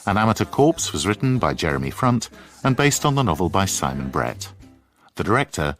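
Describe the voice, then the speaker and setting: A man with a low voice announces radio drama credits. His scripted delivery has lively pitch movement, over faint music. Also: a little fast. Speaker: continuity announcer reading closing credits.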